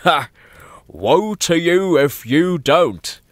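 Man doing a very slow old hobbit patriarch. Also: on the high side, expressive, no room sound, clean studio sound, pitched above the performer's own voice. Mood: jovial warning. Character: gruff, good-hearted old hobbit family patriarch.

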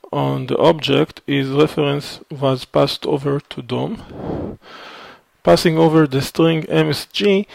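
Slow, gravelly man explaining code. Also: on the high side, no room sound. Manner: slow, methodical explaining with frequent fillers. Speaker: programming instructor. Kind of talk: screencast code walkthrough. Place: at a computer, voice over screen recording.

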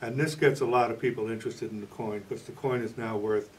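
A man's voice: lowish voice, fairly even intonation, fast, darkish tone, recorded from a distance, slight background noise. A man in his sixties answering questions as an author in an interview. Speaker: novelist and playwright being interviewed.

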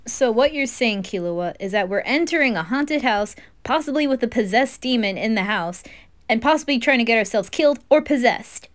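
A woman's voice: fairly high pitch, animated, moderate pace, close to an everyday voice. A woman voicing a jumpy, easily spooked young man who blusters and protests in an anime fan roleplay. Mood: alarmed, exasperated.

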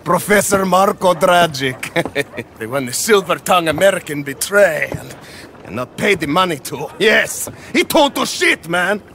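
A man's voice: high-pitched, animated, moderate pace, fairly bright tone, faint music behind. A male actor playing a bitter, boastful inventor in a video game, ranting grandly in broken English with an Eastern European accent. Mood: boastful, indignant. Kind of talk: video game character acting.

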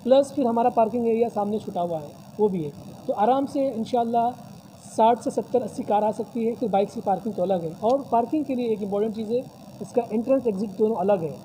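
Man, press conference, very dark tone, formal promotional talk, faint hiss. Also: sits high, fast talker, low-quality recording. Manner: formal, promotional, frequent religious phrases. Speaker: convention hall representative. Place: decorated hall at a press table. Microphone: many news mics on a table.

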